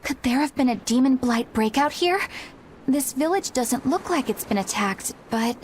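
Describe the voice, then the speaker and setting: A woman's voice: high-pitched, expressive, normal speed, darkish tone, slight background noise. A woman voicing an earnest, righteous young female exorcist in a video game, formal and sincere. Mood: concerned.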